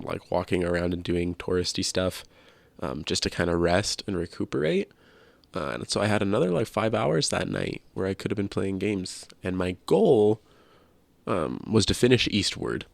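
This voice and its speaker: A man with a creaky voice co-hosting a casual video game podcast, chatting conversationally. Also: no echo. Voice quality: low creaky voice. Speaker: podcast co-host. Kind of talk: casual podcast chat about video games.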